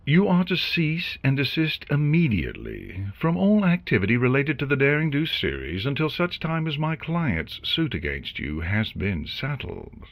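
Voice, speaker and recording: An actor playing a smug unicorn lawyer, formal, measured and condescending, in a fan fiction audio drama. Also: male voice, medium pitch, huge pitch swings, a bit bright, dry recording. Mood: smug, officious. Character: smooth-talking smug male unicorn corporate lawyer.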